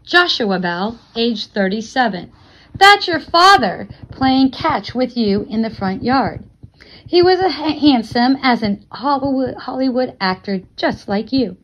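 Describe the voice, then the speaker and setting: A woman reading a novel aloud as expressive narration, like a teacher reading to a class. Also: a little high, a little slow, muffled recording.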